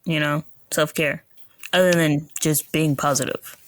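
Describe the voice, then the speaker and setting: A woman in her twenties hosting a podcast, chatting in a relaxed, agreeable way and asking probing questions. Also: deep voice, a little slow, a little hiss or hum. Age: 20s. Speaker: podcast host. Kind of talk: casual interview chat.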